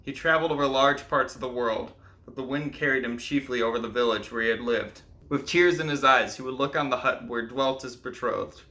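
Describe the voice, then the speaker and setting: A man's voice: mid-range voice, brisk, hardly any pauses, bright, forceful tone, poor audio. A man speaking as a storyteller and host, presenting folk tales in a narrated, explanatory style. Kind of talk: scripted fairy tale narration, then explanatory commentary.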